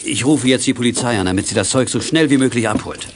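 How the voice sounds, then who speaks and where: A mid-pitched man's voice, played by an actor, measured and practical. He is the cautious adult in a children's radio play, and faint noise sits behind him. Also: natural voice. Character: sensible, cautious adult man minding young detectives. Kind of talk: 1980s German children's audio drama acting.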